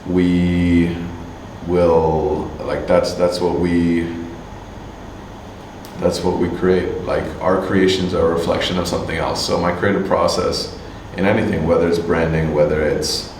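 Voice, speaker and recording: A man from Canada answering interview questions casually, halting with many fillers and restarts. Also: low voice, level tone, darkish tone. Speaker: musician and agency owner. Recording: distant mic, echoey room, faint hum.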